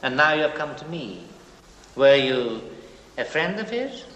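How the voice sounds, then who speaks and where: An actor playing a smooth, ingratiating Norwegian-born male sect leader in a 1960s television drama, speaking with pious, theatrical pauses. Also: mid-range voice, very animated, a bit bright, muffled recording. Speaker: cult leader. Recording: echoey hall, faint hiss.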